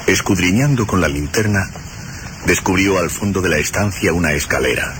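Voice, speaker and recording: Male narrator for radio suspense: very low, highly expressive, deliberate dramatic phrasing, loud background noise.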